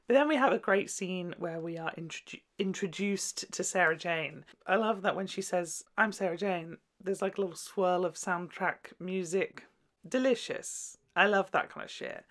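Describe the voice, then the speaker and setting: A woman's voice: on the low side, normal speed. A woman hosting a casual, chatty video review, gushing and jokey with sweary asides. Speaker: video host.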